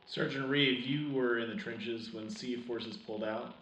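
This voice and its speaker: A man's voice: mid-range voice, steady tone, unhurried, a bit bright, reverberant, close to an everyday voice, poor audio. A man playing an earnest, patriotic host of a sci-fi wartime radio news show, with a formal announcer delivery. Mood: serious, inquiring. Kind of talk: scripted wartime radio broadcast.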